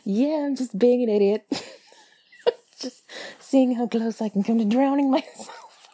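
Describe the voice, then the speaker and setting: A woman talking in an intimate, playful ASMR style close to the mic, soft and breathy, often slipping toward a whisper. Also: a little high, measured pace. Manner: giggly, playful, chatty. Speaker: audio performer addressing the listener. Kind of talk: intimate ASMR, rambling and affectionate.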